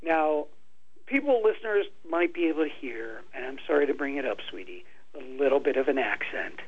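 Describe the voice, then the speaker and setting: A man's voice: mid-range voice, lively intonation, brisk, husky. A man hosting a local radio talk show, chatting casually and often restarting his sentences. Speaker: radio host. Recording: dull lo-fi radio sound.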